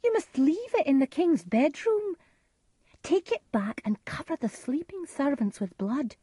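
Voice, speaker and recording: A domineering noblewoman from a storybook, as a woman reader acts her. Her voice is high and loud, full of scorn and command, with ruthless force. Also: very animated, measured pace, no room sound. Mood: commanding, dismissive.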